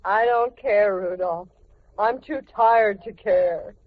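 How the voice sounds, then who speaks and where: A woman playing a weary, put-upon wife in a vintage radio sitcom, with drawn-out plaintive delivery. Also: medium pitch. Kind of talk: 1940s-50s radio sitcom acting. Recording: muffled, noisy vintage radio recording.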